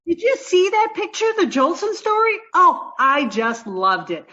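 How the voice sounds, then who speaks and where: A woman's voice: very high voice, sing-song, unhurried, bright, forceful tone, muffled recording, voice pushed up, exaggerated voice. A woman playing a dim-witted, sweet, scatterbrained young woman in a comic old-time radio play, gushing and literal-minded. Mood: gushing, chatty. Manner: naive, scatterbrained, gushing, takes everything literally.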